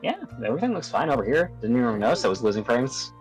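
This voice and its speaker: A guest on a remote voice call, chatting casually in a hesitant, low-key way. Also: sing-song, fast, over music, low-quality recording. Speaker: guest co-player. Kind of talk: casual chat.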